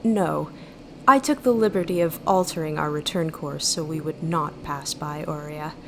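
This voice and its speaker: An actress playing a thoughtful, gentle starship mind in an audio drama, with measured, wistful, formal phrasing. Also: female voice, low voice, slight background noise. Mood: calm, explaining. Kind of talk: audio drama narration and dialogue. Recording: music behind the voice.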